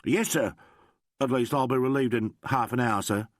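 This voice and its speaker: A man voicing a calm, polite country-bred police sergeant who answers respectfully and plainly. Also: mid-range voice, moderate pace, no room sound, clean studio sound, natural voice.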